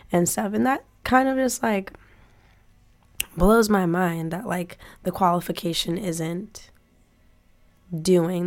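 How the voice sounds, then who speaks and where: A college student in her twenties talking casually to her viewers with a hoarse, raspy voice that sounds like a smoker's, rambling with lots of "like.". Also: fairly low pitch, a little mellow. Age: 20s. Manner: rambling, says "like" often. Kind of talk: casual talk to camera.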